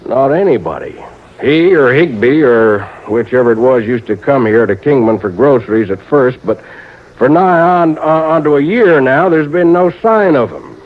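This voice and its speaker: Through a thin, narrow vintage radio recording comes a man acting a crafty old country insurance agent. He has a rural American accent and tells things in a folksy, halting, drawn-out way, nervous underneath, in old-time radio drama style. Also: mid-range voice, animated, close to an everyday voice. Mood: uneasy. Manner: folksy, hesitant, drawn-out storytelling.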